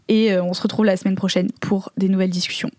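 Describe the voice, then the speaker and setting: A woman's voice: medium pitch, level tone, fast talker, warm tone, no room sound. A woman podcast host talking through her thoughts in a casual, hesitant way. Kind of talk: casual chat, scripted show intro.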